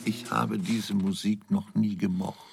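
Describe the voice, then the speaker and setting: An actor playing a shy, proud older father in a radio play, a husky creaky voice and a hesitant, earnest manner. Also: fairly low pitch, little pitch movement, unhurried, over music. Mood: earnest, aggrieved.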